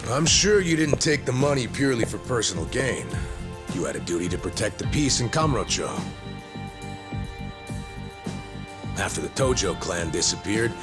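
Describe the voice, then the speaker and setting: An actor playing a sardonic, determined older disgraced cop in a video game drama, with a folksy, taunting way of talking. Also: male voice, on the low side, big pitch swings, over music. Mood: triumphant. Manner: taunting, folksy, drawn-out delivery. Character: gruff, sardonic older discharged male cop, smug and determined.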